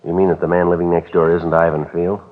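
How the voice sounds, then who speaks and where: An actor playing a terse, matter-of-fact police sergeant who narrates his case in a clipped, deadpan way. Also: low voice, fast, close to an everyday voice. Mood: questioning. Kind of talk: 1950s radio drama acting. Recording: tinny old radio with faint music.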